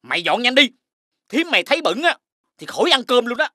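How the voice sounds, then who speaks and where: A man with a southern Vietnamese accent playing a gullible, grumbling middle-aged peasant in an animated fairy tale, comically whiny and bossy. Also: very high voice, fairly quick, no echo, studio quality, heavily acted. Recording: loud music behind the voice.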